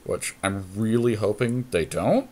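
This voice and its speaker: A man's voice: on the low side, expressive, unhurried, a little mellow. A man co-hosting a podcast, giving casual card game commentary and thinking plays through aloud in a conversational way. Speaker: podcast co-host.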